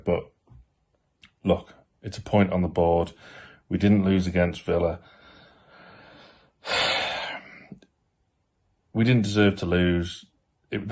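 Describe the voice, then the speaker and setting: Man, husky, monotone, blunt football pundit. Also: deep voice. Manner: blunt, critical, repeats points for emphasis. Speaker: fan channel pundit. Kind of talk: post-match football opinion.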